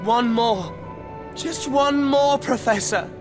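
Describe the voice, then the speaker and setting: A man's voice: extremely high pitch, takes it slowly, over music. An actor playing an earnest, dutiful teenage boy wizard in a video game, plain-spoken. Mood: urgent, insistent. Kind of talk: dramatic video game acting.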